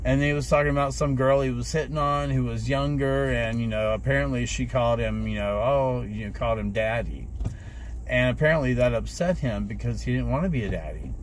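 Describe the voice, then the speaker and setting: A man's voice: mid-range voice, brisk. A man of fifty telling a rambling story to his viewers, with plenty of fillers, and acting out the voices of the people he quotes. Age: 50s. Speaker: rideshare driver vlogger. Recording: noisy street and traffic background.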